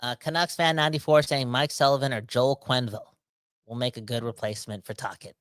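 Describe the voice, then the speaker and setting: A man's voice: medium pitch, quick pace, clear, resonant tone. A man, hosting a podcast livestream, reading viewer comments and ranting in casual slang. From Canada. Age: 30s. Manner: animated, rambling, slangy, talks straight to the audience. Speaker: podcast host reading live chat comments.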